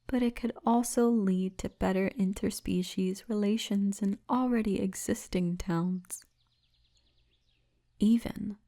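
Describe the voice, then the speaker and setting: Woman acting as towering female orc officer, ASMR roleplay, unhurried, soft dark tone, confident, teasing. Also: mid-range voice. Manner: teasing, confident, formal turns of phrase, often breathy murmur.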